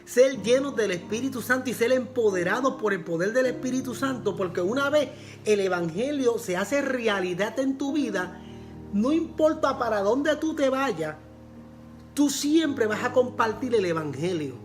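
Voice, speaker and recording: Pastor, man with a Puerto Rican accent, earnest repeating sermon delivery, faint music bed. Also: extremely high pitch. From Puerto Rico. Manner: repeats phrases for emphasis, earnest preaching.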